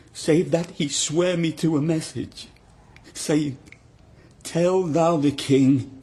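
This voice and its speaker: An actor playing a maimed peasant swineherd, pleading in slow, declamatory archaic verse as in an audio drama. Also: male voice, on the high side, a little mellow. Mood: defiant, reporting.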